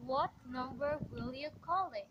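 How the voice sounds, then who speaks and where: Radio play role, female actor: courteous switchboard operator, high voice, formal and unruffled, muffled sound. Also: measured pace. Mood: polite. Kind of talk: amateur radio play acting. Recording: dull, faintly noisy recording with clicks.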